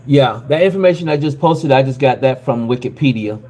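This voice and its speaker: Mellow-voiced man chatting on a livestream. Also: mid-range voice, lively intonation, a little fast. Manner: rambling, leans on "you know". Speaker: livestream host. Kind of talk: casual chat.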